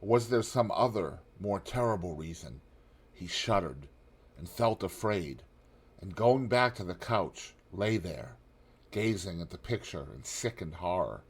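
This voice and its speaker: A man with a somewhat low voice narrates a classic novel in a measured, steady reading. He lets his voice sink low and soft on grave passages. The delivery is scripted, unhurried audiobook narration. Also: husky.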